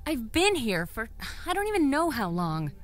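A woman's voice: a little high, huge pitch swings, moderate pace. An actress playing a distressed, pleading captive woman in an audio drama, emotional and defiant. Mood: weary, guarded. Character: distressed, pleading female captive insisting she is human. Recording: music under the voice.

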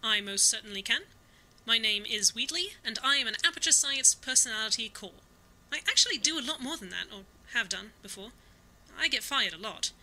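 A woman's voice: on the high side, animated, a little fast, bright, forceful tone, full character voice, poor audio. A woman voicing a bumbling, anxious male robot sphere that rambles and stammers eagerly. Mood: chatty. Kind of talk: fan audio drama.

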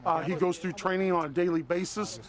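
A man's voice: sits high, fairly even intonation, brisk. A man who is a county sheriff speaking plainly in an interview soundbite, with frequent fillers.

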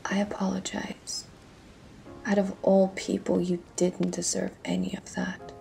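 A woman playing a weary, tender pirate captain in an intimate audio roleplay, speaking in a hushed, emotional and breathy way. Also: mid-range voice, flat delivery, whispered, poor audio. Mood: apologetic. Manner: hushed, slow, emotional delivery. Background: faint music.

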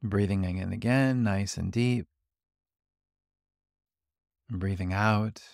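Male meditation guide: lowish, creaky voice, calm and gentle delivery, leaving long pauses between instructions. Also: no room sound, studio quality. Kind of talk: guided body scan meditation.

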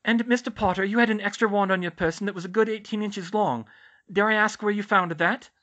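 A woman's voice: mid-range voice, a little fast, a bit bright, voice pushed up, close to an everyday voice. A woman voicing a strict but caring, sardonic female school healer in a storybook. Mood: sarcastic.

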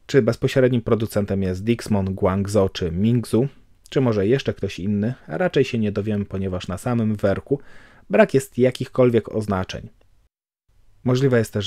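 A man giving a calm, measured voice-over for a watch review, explaining technical details. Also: on the low side, no room sound, studio quality.

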